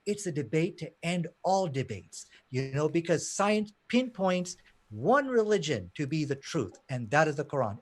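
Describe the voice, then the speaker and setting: A man from Pakistan speaking as a debater, arguing in an emphatic, challenging way. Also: on the high side. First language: not Arabic; Arabic learned as second language. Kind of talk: impassioned debate argument.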